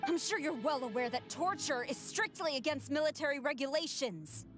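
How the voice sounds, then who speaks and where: A woman's voice: high-pitched, lively intonation, moderate pace. A woman voicing a stern young female general in a video game, giving formal, clipped orders with authority. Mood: stern, reproachful. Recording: game music behind the voice.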